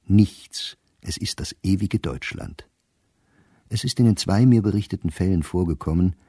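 An actor playing an educated middle-aged diarist, bitter and reflective, reading his diary in a measured, sardonic way for a radio play. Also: deep voice, dark tone, breathy edge, no echo, clean studio sound. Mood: dry, sardonic.

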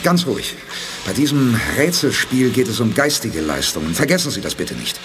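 An actor playing a calm, commanding male space commander in a 1980s radio drama. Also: mid-range voice, moderate pace, noisy background, natural voice.

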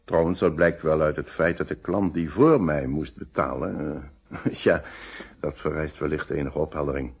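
A middle-aged man in a radio drama, speaking in a low, husky voice. He is a decent, polite witness who picks his words with care and hesitates. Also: moderate pace, highs cut off, exaggerated voice. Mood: careful, cooperative. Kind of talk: Dutch radio play acting.

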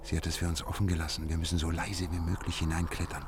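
A man's voice: sits low, little pitch movement, fast, close to an everyday voice. An actor playing a shrewd, commanding male detective in a German radio drama, speaking with theatrical deliberation. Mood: hushed, commanding. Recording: noisy radio play recording.

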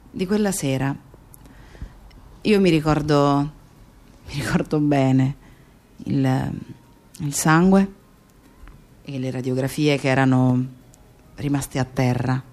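A woman's voice: low-pitched, a little mellow. A woman recalling a lost friend, conversational. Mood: grieving, subdued. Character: woman remembering her murdered friend's last evening. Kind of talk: radio theatre monologue, intimate recollection.

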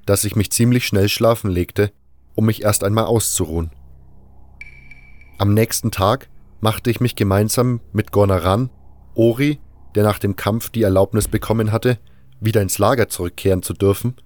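Low-voiced man narrating a fantasy tale. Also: darkish tone. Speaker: narrator of a fan audio drama. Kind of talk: scripted first-person fantasy storytelling.

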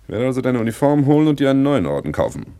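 A self-important crook playing the fine gentleman in a 1960s radio play. He speaks in a mid-pitched, very lively voice, pompous and pleased with himself. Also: racing, vocal fry. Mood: smug. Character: slick self-important small-time crook turned businessman.

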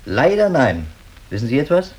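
A man plays a police detective in a radio play. His voice is medium in pitch, and he speaks courteously and matter-of-factly, brisk and businesslike. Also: big pitch swings, a little mellow, close to an everyday voice. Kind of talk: German radio drama acting.

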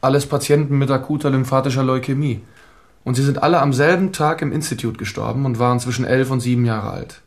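A clinical, precise male medical informant played by an actor with a mid-pitched voice. He explains matter-of-factly, with a flirtatious streak, in the manner of radio crime drama. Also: fast, natural voice.

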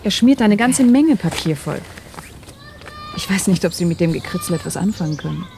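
Worried partner, low mellow voice. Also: female voice, expressive, normal speed, creaky, slight background noise. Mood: worried, baffled. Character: worried, exasperated woman, astronaut's partner. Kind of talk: German radio play acting.

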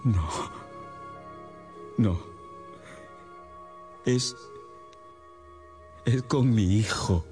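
An actor playing an anguished, remorseful gentleman father in a melodramatic, exclaiming radio drama style. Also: lowish voice, very slow, long pauses, faint music behind. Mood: humbled, emotional.

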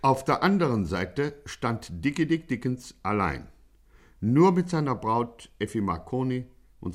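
An actor playing a pompous, mock-heroic narrator in a 1950s radio play, declaiming dramatically. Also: male voice, mid-range voice. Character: pompous mock-heroic male chronicler of a gangster.

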